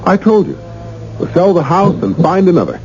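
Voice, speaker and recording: A man's voice: high-pitched, huge pitch swings, normal speed, gravelly, a little mellow, faint music behind, exaggerated voice. A man playing a nervous, earnest young husband in a radio drama, speaking hesitantly. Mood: reassuring. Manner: hesitant, stammering under strain. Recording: dull, hissy old radio recording.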